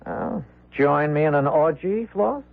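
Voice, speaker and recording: An actor playing an earnest younger husband with a sarcastic edge and a husky voice, in a radio drama. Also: male voice, a little high, lively intonation, a little slow, narrow, tinny audio, slight background noise. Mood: weary, sarcastic. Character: protesting, sarcastic son-in-law, younger man. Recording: thin vintage radio recording.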